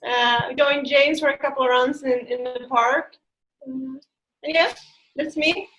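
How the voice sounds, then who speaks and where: A woman from Sweden introduces herself slowly and hesitantly, with lots of ums. Her voice sits a bit high, with faint noise in the background. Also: steady tone, dull audio. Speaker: club member. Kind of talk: casual self-introduction. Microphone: video call.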